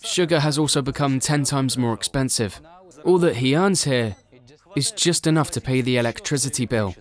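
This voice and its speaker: A man's voice: medium pitch, normal speed. Man narrating a documentary voice-over.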